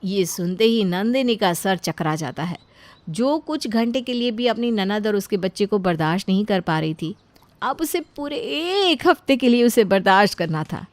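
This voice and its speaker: A woman reading scripted narration for an animated family moral story. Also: mid-range voice, moderate pace, no room sound.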